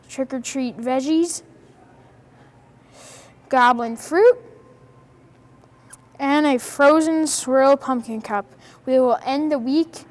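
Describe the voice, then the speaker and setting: A woman reading out a school lunch menu carefully, as a news segment. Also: high-pitched. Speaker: news reader.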